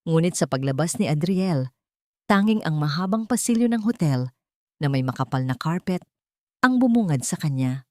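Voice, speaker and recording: A woman's voice: low-pitched, lively intonation, normal speed, no room sound, studio quality. A woman with a Filipino accent reading a dramatic scripted story as an audiobook narrator.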